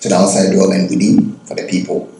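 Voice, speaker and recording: A man's voice: low-pitched, completely flat delivery, fast, vocal fry, dark tone. A man interviewing a guest, asking long rambling questions. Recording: very echoey room, faint background noise.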